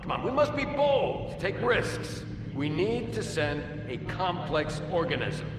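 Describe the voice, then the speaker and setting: A man's voice: sits high, very animated, a little slow. An actor playing an arrogant, sarcastic, impatient male scientist with a sneering, dismissive delivery in a video game audio log. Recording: echoey hall, distant, very noisy.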